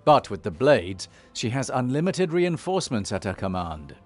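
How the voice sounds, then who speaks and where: Commanding, expressive fantasy hero. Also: male voice, mid-range voice, normal speed, faint music behind. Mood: solemn. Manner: firm, serious, authoritative. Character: commanding male dragon-blooded emissary and elder, resolute hero. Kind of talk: dramatic video game acting.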